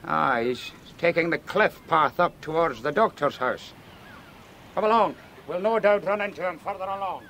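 An actor playing a pious, formally courteous Scottish church minister in a radio drama. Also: male voice, a little high, normal speed, fairly bright tone, slight background noise. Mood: earnest. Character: pious, patronising Scottish island minister, male.

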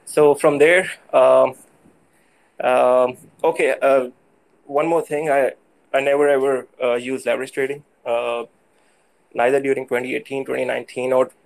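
Male, Pakistani accent, non-native English, creaky voice, halting delivery, guest caller telling a personal story. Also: medium pitch, low-quality recording. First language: not English. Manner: halting, hesitant, frequent hedging. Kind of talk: personal story told on a live audio show.

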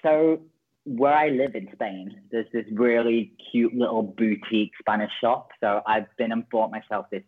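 A man's voice: mid-range voice, normal speed, breathy edge. A man organizing an awards night, gushing and playful as he talks with the host. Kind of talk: interview chat. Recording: tinny phone line.

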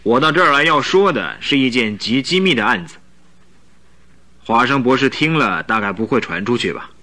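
A man's voice: mid-range voice, expressive, moderate pace, sharp tone. An actor playing a haughty, secretive young king in a radio drama, speaking in a formal, grave and imperious manner. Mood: haughty, guarded. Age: young adult. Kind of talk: Mandarin radio drama acting.

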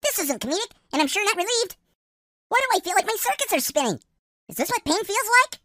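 An anxious, exasperated droid adventurer voiced by a woman for a sci-fi comedy radio play. The voice is high, bright and very lively, wordy and fretful, recorded close and dry. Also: quick pace, dry recording, heavily acted. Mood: distressed.

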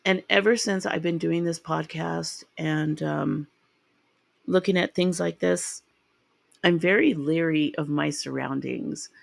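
Woman podcast host, sick and raspy, rambling casual delivery, dry close mic. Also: deep voice, unhurried, no room sound. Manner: rambling and chatty, with ums and restarts. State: raspy from a sinus infection. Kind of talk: casual true-crime podcast narration.

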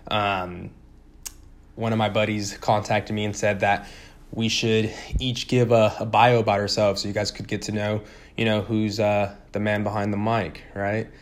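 A young man talking casually and haltingly on his solo podcast, using informal slang. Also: fairly low pitch, little pitch movement. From California, born in Puerto Rico. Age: young adult. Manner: informal, slangy, hesitant with frequent pauses. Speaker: podcast host.

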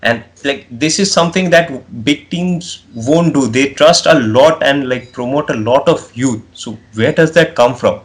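A man's voice: medium pitch, a little slow, hardly any pauses. A man with an Indian accent interviewing a football coach, asking questions in a casual way that is full of "like". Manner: casual, fills with "like".

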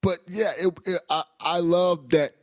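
A man's voice: high voice, fairly even intonation, measured pace, no room sound, clean studio sound. A man hosting a podcast, talking in a casual, rambling, enthusiastic way with slang. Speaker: podcast host. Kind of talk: casual interview chat.

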